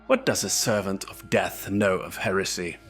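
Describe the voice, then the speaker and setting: A man voicing a hot-tempered, scornful zealot knight in a dark fantasy story, accusing and contemptuous in his delivery. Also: deep voice, normal speed. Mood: scornful, angry. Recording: music bed behind the voice.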